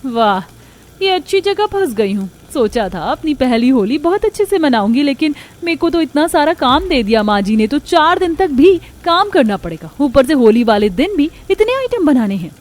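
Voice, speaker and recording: A woman's voice: high voice, big pitch swings, moderate pace, a little mellow, pitched above the performer's own voice. A woman voicing a put-upon young daughter-in-law who complains in a cartoon family story. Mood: complaining. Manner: complaining, whiny. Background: music.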